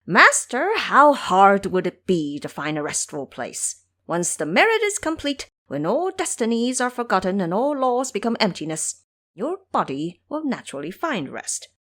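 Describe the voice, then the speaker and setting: A woman's voice: mid-range voice, sing-song, normal speed, fairly bright tone. A woman voicing a bold, cocky male monkey disciple in an audio drama, boastful and fond of lecturing. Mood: cheerful. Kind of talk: audio drama character acting.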